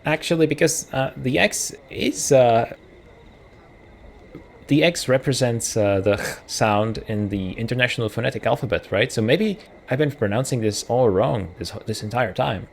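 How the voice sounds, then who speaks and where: Man, Czech accent, mid-pitched voice, thinking out loud, reads game text aloud. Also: moderate pace, gravelly, a little hiss or hum. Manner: musing, thinks aloud with self-corrections. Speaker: let's play host. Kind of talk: casual gameplay commentary, reading game text aloud.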